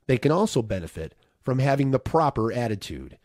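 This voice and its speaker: A man reading a nonfiction audiobook as narrator, in a measured, instructive way. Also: medium pitch, big pitch swings, a little mellow, no room sound, clean studio sound.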